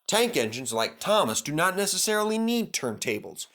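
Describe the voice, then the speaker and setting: Expressive man narrating a children's story. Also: high voice, moderate pace. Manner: expressive, dramatic reading.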